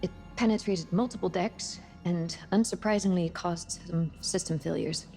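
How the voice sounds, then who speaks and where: An actress as a grave starship officer, her low female voice clearly creaky. She briefs briskly and plainly, with faint music underneath, in sci-fi game style. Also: mellow, natural voice. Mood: grave, businesslike. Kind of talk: cinematic game acting.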